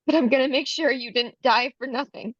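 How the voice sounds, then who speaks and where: A woman's voice: high-pitched, brisk, a bit bright, husky, no echo, muffled recording. A woman playing a frightened but defiant young beauty pageant contestant, urgent and emotional, in a screenplay table read. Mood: vengeful.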